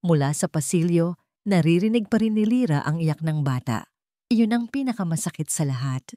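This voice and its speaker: A woman narrates a dramatic scripted story. Her voice sits a little low for a woman. The recording is very dry, with no audible room around her. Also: animated, moderate pace, warm tone, dry recording.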